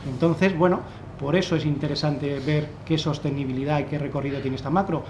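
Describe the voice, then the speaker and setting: Higher-voiced man on a finance panel. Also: steady tone, brisk, noisy background. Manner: analytical, thinks aloud with many restarts. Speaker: fund manager on a panel. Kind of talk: panel discussion. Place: wood-panelled conference room. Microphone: desk microphone.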